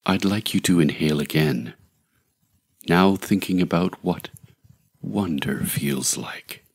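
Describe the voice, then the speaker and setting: A man narrating a scripted guided meditation in a measured, soothing, deliberate way, with long pauses. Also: sits low, vocal fry, husky.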